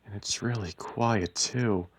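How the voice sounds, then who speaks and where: Man acting out a bewildered husband, defensive, insistent, emphatic, pitched above his narration, audiobook drama. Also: slow, husky. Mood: unsettled. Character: bewildered, defensive husband who hears voices. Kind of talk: dramatic story reading.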